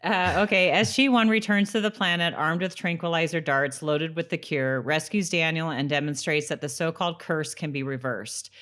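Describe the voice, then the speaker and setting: A woman's voice: deep voice, moderate pace, few pauses, fairly bright tone. A woman co-hosting a podcast, recapping in an informal, giggly way, sometimes reading from a summary. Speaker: podcast co-host. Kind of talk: casual recap chat with read-aloud summaries.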